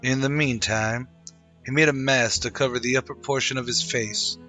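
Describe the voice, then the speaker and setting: Bright male old-time radio narrator. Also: mid-range voice, steady tone, normal speed, faint music behind.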